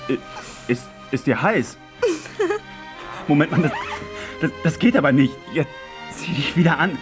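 A brash young trainee teacher in a radio drama with loud music behind him. His slangy delivery swings widely in pitch, cocky and crude and easily flustered. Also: animated, a little slow. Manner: slangy, brash, stammering when rattled. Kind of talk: German radio play acting.

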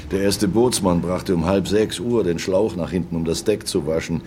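A man's voice: low-pitched, moderate pace, mellow, a little hiss or hum, close to an everyday voice. An actor playing an older merchant seaman in a radio play, ruminating and reminiscing in grief over his lost captain. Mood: mournful reminiscing. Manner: ruminative, reminiscing, repeats himself. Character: brooding old merchant seaman, loyal, grieving his captain. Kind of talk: radio play monologue, storytelling.

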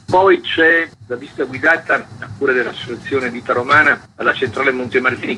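A man's voice: on the low side, bright, forceful tone, a little hiss or hum, poor audio. An older man explaining at length in a radio interview, discursive and full of asides. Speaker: archive president, interview guest.

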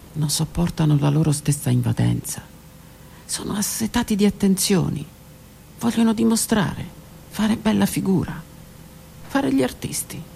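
A middle-aged woman, a stage actress, performing a dramatic monologue that swings from hushed whispers to raised declaiming. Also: deep voice, creaky, slight background noise. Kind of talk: theatrical monologue. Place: radio studio.

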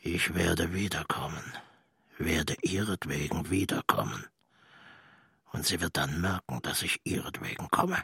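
An actor playing a brooding, lecherous former German military man, often breathy and whispering, in a radio drama monologue. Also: low voice, bright, forceful tone, no room sound, clean studio sound, heavily acted. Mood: grim resolve. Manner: slow, menacing, contemptuous.

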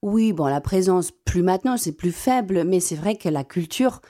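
A young South African woman whose first language is Afrikaans, a classical singer answering radio interview questions in a halting way with many repeated words. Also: fairly low pitch, animated, mellow.

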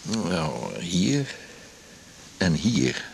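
A gravelly, low-voiced ailing doctor. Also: male voice, very animated, drawn-out words, exaggerated voice. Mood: weary, self-mocking. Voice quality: gravelly, husky. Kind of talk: naturalistic 1980s radio drama acting.

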